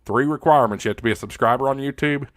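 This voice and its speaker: A man's voice: mid-range voice, rapid-fire, dry recording, clean studio sound. An American man hosting a livestream, chatting casually in an informal, folksy, joking way as he talks to viewers. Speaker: livestream host. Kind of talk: casual livestream chat reading viewer comments.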